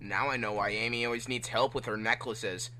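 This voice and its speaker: A man's voice: medium pitch, steady tone, brisk, sharp tone, slight background noise, voice pushed down. A man voicing a cocky, indignant young hedgehog hero in a fan-fiction reading, casual and complaining.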